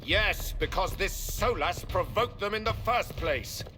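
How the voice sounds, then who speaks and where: A high male voice as a stern fantasy game dignitary. He speaks slowly and pointedly, disapproving and formal, over clear music. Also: expressive. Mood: accusing. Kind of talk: dramatic video game acting.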